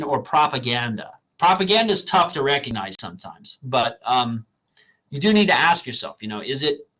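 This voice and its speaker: A man teaching a college writing class in a recorded slideshow lecture, talking in a loose, conversational way, tagging "right?" onto his points, his voice going hoarse. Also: medium pitch, sharp tone, lo-fi sound. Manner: conversational and digressive, asks "right?" often. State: losing his voice.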